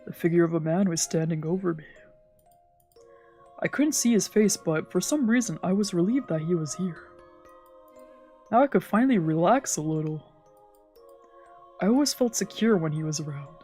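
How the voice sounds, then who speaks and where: Woman, slightly low voice, expressive visual novel narration, dramatic storytelling, background music. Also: normal speed, long pauses. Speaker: streamer reading a visual novel aloud. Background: game background music.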